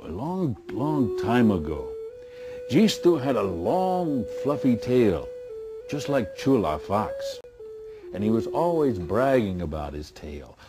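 Slow, animated male folk storyteller. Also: fairly low pitch. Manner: folksy, deliberate with dramatic pauses. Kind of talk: oral folk tale storytelling.

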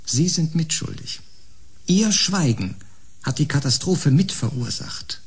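An actor playing a stern, relentless male interrogator in a science-fiction radio drama, sharp and confrontational. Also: on the high side, animated, measured pace, slightly rough, exaggerated voice. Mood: accusing.

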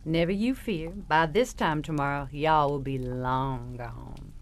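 A woman's voice: deep voice, measured pace, a little hiss or hum. An actress playing a genteel, sinister Southern lady of the house, sugary and folksy, in an audio drama. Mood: genteel, reassuring.

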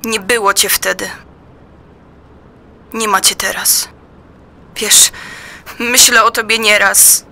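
A woman giving a dramatic poetry recitation in a plaintive, reproachful and deliberate manner. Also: mid-range voice, fairly even intonation, gravelly, bright, forceful tone. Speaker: performer in an audio play. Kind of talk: dramatic recitation of a personal poem.

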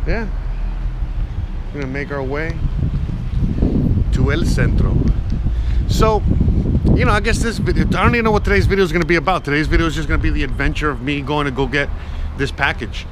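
A man in his thirties talks in a loose, rambling way, stacking fillers as he walks. His voice sits a little high. Traffic and wind roar loudly around the handheld camera mic outdoors. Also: brisk, long pauses. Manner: rambling and chatty, frequent fillers. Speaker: vlogger. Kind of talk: casual walking vlog. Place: outdoors on a sunny city street. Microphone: action camera held at arm's length. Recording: loud street and wind noise.

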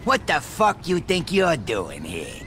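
An actor playing a cruel, cocky American mobster in a video game, taunting people in rough street talk. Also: male voice, wildly swooping pitch, slow pace, bright, forceful tone, faint music behind, heavily acted. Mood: mocking surprise. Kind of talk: video game cutscene acting. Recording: clear background noise, rumbling room ambience.

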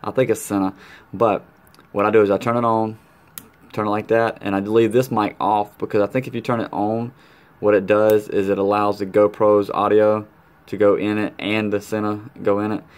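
Guy with a lowish voice doing a laid out how-to vlog, slangy, throws in little sound words, at home. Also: level tone, moderate pace, clear, resonant tone. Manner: colloquial, playful sound-effect interjections. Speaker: motorcycle vlogger demonstrating gear. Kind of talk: casual hands-on tutorial chat.

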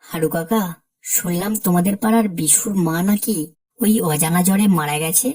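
Cartoon: fearful young villager , voiced by a woman, slightly low, pleading. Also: normal speed, a little mellow, lightly acted. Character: frightened young village man.

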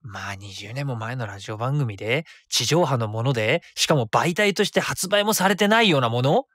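Man, 30s, web radio host, solo rambling talk, self-correcting, animated, dry close mic. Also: medium pitch, moderate pace, no echo.

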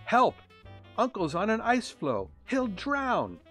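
A man voicing an earnest, excitable young male reindeer in a children's storybook, given to exclaiming. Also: medium pitch, moderate pace, faint music behind. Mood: alarmed.

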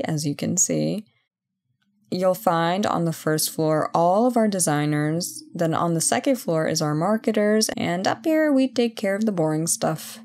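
A woman playing a brisk, playful company boss in an audio roleplay job interview, chatty and full of wry asides. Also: on the low side, a little mellow. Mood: proud, playful. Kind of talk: ASMR roleplay, one-sided conversation.

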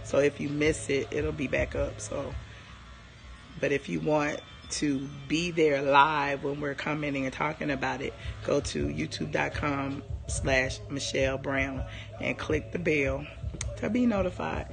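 A hoarse woman in her forties, chatting casually on a live stream from her living room, rambling and greeting viewers by name, with a phone held at arm's length. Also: low-pitched, fairly even intonation, measured pace, soft background music. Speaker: livestream host. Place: living room at home, indoors.

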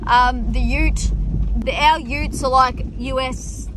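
A young Australian woman with a high voice talks bluntly to her phone camera, amused at her own jokes. Loud wind and engine noise roar behind her. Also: steady tone, slow pace. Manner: blunt, self-amused, swears freely. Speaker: farmer vlogger. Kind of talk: casual vlog chat. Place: inside a ute, then outdoors in open paddocks. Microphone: phone camera held at arm's length.

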